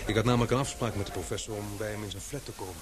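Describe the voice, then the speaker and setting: An actor playing a courteous, inquisitive man in an old-fashioned radio play, speaking in a measured, gently probing way. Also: lowish voice, lightly acted. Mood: grave. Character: courteous, inquisitive man caught up in murder intrigue.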